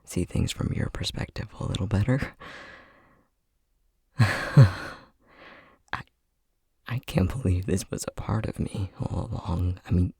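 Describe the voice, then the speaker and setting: A man acting a husky, flustered low-voiced boyfriend. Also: a little slow, pauses often, dry recording, studio quality, full character voice. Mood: awed. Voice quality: breathy, husky. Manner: hesitant, stammering, soft-spoken. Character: flustered, tender young boyfriend newly discovering magic powers. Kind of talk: ASMR roleplay acting.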